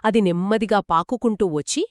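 A woman's voice: mid-range voice, brisk, no room sound, clean studio sound. A woman telling a children's story conversationally, engaged and dramatic as she speaks to young listeners.

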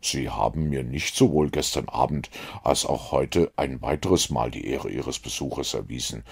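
A man voicing a cool, shrewd gentleman detective in an audiobook, with a measured, dry, authoritative manner. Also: a bass voice, creaky, breathy edge, pitched below the performer's own voice, full character voice. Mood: observant, composed.

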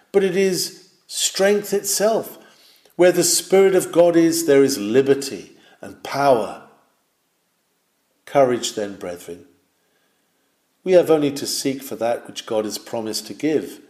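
A man's voice: on the high side, darkish tone, echoey room. A man reading an old sermon aloud in a solemn, exhorting manner, with rising and falling emphasis. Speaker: reader of a historical sermon.

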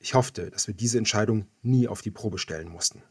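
A man's voice: on the low side, brisk, breathy edge, no room sound, studio quality. A man reading first-person fiction as a scripted audiobook narrator.

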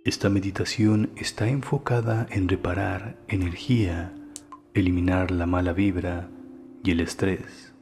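A man hosting a guided meditation, giving soothing, unhurried instructions, recorded as narration for relaxation. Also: sits low, steady tone, darkish tone. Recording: voice over music and water sounds. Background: relaxation music, running water.

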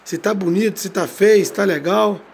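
A man with a Rio de Janeiro accent, hoarse from a cold, giving hyped slangy commentary over a bus game, each phrase ending on a low quiet tag word. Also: normal speed, slight background noise. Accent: Brazilian Portuguese, Rio de Janeiro. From Brazil. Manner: slangy, drags out catchphrases, tag words drop low and quiet. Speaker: game streamer.

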